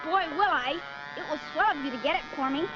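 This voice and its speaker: A man's voice: very high-pitched, fast. A young performer playing an eager, cheeky boy in a noisy, muffled 1940s film. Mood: excited. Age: child.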